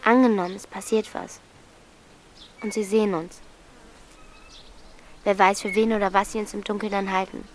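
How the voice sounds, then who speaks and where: A woman's voice: mid-range voice, steady tone, moderate pace, long pauses, a little hiss or hum, close to an everyday voice. A woman playing a bold, streetwise thirteen-year-old girl with a blunt, commanding manner in a radio play. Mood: bossy, urgent. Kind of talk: German radio play acting.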